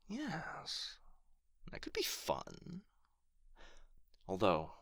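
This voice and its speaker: A proud, wounded dragon guards his hoard in an ASMR fantasy roleplay, played by a man. His delivery is theatrical and taunting, swinging dramatically from drawn-out whispers to sharp, forceful outbursts. The sound is dry and intimate. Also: fairly low pitch, no room sound, full character voice. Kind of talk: ASMR boyfriend roleplay acting.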